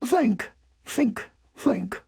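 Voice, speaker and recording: A woman voicing a gentle, naive teddy bear who muses slowly in a children's storybook. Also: on the high side, lively intonation, slight background noise, full character voice. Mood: musing. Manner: slow, musing, naive. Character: gentle, simple, kindly male teddy bear. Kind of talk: children's storybook character acting.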